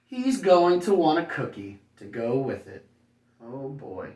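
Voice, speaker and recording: A man's voice: mid-range voice, big pitch swings, measured pace, warm tone. A man reading a children's picture book aloud like a kindergarten teacher, breaking off for playful, folksy, chatty asides. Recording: roomy echo, distant microphone.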